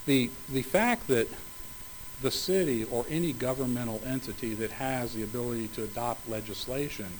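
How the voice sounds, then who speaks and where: Male attorney at oral argument, mid-pitched, formal and measured, a touch hoarse. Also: a little hiss or hum, low-quality recording. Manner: measured, formal legal phrasing with occasional restarts. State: slightly hoarse, coughing. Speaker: attorney arguing for a city.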